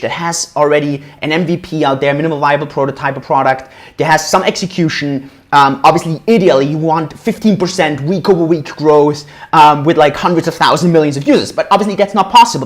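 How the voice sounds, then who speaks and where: A man in his thirties from Austria, giving a casual livestream lecture on investing at a whiteboard, often tagging sentences with "right?". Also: on the high side. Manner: animated, casual, frequent "right?" tag questions. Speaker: crypto educator.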